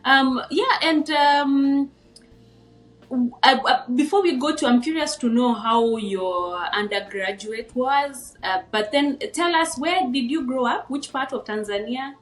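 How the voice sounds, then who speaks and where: A woman's voice: high voice, a little slow. A Kenyan-accented woman interviewing a guest on a podcast, hesitant with many fillers before her questions. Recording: roomy echo.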